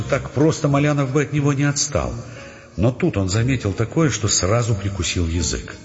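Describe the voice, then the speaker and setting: A male narrator with a fairly low, widely moving voice reads dramatized prose. Long reverb surrounds him, and music plays behind. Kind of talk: dramatized literary narration for an audio play. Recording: reverberant, dull sound, music underneath.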